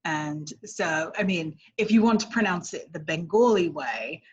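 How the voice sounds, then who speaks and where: A woman with a London English accent, speaking as an immigration lawyer guest in a casual interview, thoughtful and halting with many fillers. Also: lowish voice, slightly rough. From England.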